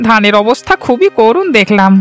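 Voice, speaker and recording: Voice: medium pitch, very animated, a little fast, fairly bright tone. A careworn poor farmer from a children's village cartoon. The voice is downcast and lamenting, full of worry, with music running beneath it. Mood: worried, despairing. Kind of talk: Bengali children's cartoon voice acting.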